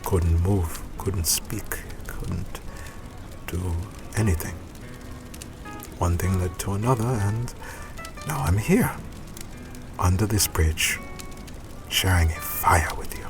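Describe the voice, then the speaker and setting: An actor playing a gentle, courteous homeless man, once an engineer, with polite, formal speech in an audio drama. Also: deep voice, takes it slowly, long pauses, music in the background. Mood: wistful.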